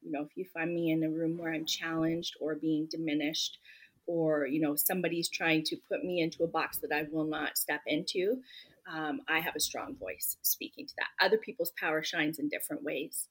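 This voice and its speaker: Brief: woman guest author, husky lowish voice, earnest, emphatic, reflective. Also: steady tone, moderate pace, low-quality recording. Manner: earnest, emphatic, reflective, many "you know" fillers. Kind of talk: podcast interview answers.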